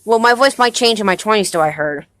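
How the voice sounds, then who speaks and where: A young man playing a halting nineteen-year-old husband. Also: high-pitched, lively intonation, a bit bright, dry recording. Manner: hesitant, halting, many restarts. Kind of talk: amateur home movie acting.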